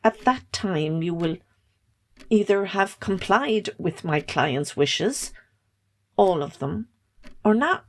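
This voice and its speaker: A woman plays a stern solicitor in a stage drama. She speaks very slowly and formally, firm and businesslike, at a medium female pitch. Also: lively intonation. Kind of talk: amateur stage drama acting.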